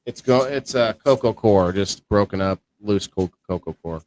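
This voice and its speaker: A man co-hosting a casual livestream about indoor growing, talking in a low-key, fairly monotone way. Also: normal speed. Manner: low-key, self-described monotone delivery. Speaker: co-host of a live-streamed indoor growing show.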